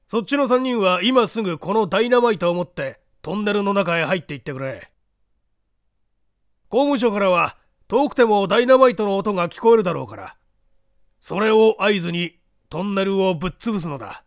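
A man voicing a bold young ringleader of a workers' gang in an audiobook, rough, commanding and threatening. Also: high-pitched, lively intonation, normal speed, no echo, clean studio sound, pitched above the performer's own voice.

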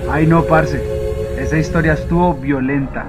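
Man as teasing radio co-host, young, Colombian accent, casual banter, fairly high voice. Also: steady tone, normal speed, over music, close to an everyday voice. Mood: casual, friendly. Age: young adult. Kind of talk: casual radio-show banter in a comic drama.

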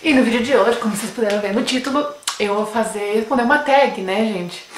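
A woman's voice: fairly high pitch, a little fast. A young Brazilian woman in her twenties with a Brasília accent, chatting playfully to viewers as a beauty vlogger, recorded on a webcam in a room at home. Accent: Brasília Portuguese. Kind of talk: casual chat answering a beauty tag. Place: small room at home with bookshelf, indoors. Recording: roomy home room echo, faint noise.

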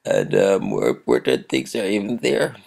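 Croaky deep-voiced man reading devotions. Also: huge pitch swings, normal speed, darkish tone. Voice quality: gravelly. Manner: chuckles often between phrases; reads scripture aloud in an emphatic, rising voice. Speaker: devotional host.

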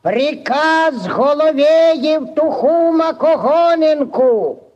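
An actor playing an officious village clerk, declaiming formally in a 1940s radio play. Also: male voice, very high-pitched, expressive, slow, a little mellow, lo-fi sound, heavily acted. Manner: declaiming, formal reading aloud. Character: officious male village clerk reading an order. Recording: vintage 1940s radio recording.

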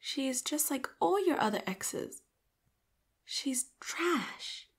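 A woman voicing a devoted, possessive young female robot assistant in an ASMR roleplay, speaking in a soft half-whisper and calling the listener Master. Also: high-pitched, expressive, slow pace, heavily acted. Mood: indignant. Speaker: voice actor.

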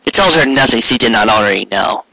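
Guy reading a story out loud, mid-low bright voice, really dry sound, trips on words a bit. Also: animated, quick pace, no room sound, rough recording. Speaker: amateur fan fiction reader. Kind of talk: scripted narration, storybook reading.

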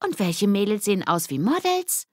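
Woman acting cheeky, animated schoolgirl. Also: mid-range voice, moderate pace, no room sound, studio quality, voice pushed up. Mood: excited, challenging. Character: spirited, cheeky schoolgirls in a gang.

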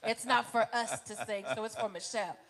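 Emphatic higher-pitched woman testifying. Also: fairly quick. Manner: emphatic, retelling dialogue. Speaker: church member giving testimony. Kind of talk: spoken church testimony.

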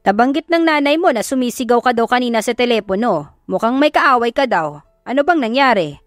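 Woman as concerned father, middle-aged, gentle, softly questioning, audio drama, close dry sound. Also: fairly high pitch, lively intonation, brisk, dry recording, soft background music, voice pushed up, natural voice.

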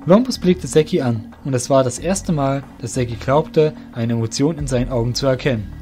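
A man reading scripted narration for an audio drama in a measured storytelling way. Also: medium pitch, dark tone, faint music behind.

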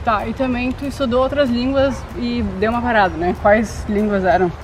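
A young woman of eighteen talks in an easy, unhurried way, stopping now and then to find her words. She is outdoors, picked up by a nearby camera mic, with music running under her voice. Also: fairly high pitch. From Brazil. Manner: relaxed, thinks aloud with pauses. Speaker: vlog co-host. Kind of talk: casual chat. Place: outdoors in an open grassy field. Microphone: camera mic at arm's length.

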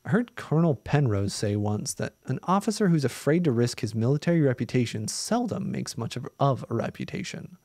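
A man's voice: brisk, few pauses, no room sound. A man in his thirties reading a science fiction story aloud on a live stream, lifting his voice a little for the dialogue. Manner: steady reading, lifts his pitch for the woman's lines. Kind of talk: live reading of a novella, doing dialogue lightly. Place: small room with green screen, indoors. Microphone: studio mic on boom arm close to mouth.

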